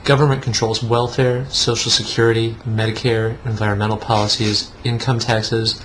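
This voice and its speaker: A man's voice: lowish voice, little pitch movement, normal speed, a bit bright, poor audio. A man co-hosting a mock-dramatic reading mixed with casual banter, with a sarcastic manner. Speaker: co-host. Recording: faint background noise.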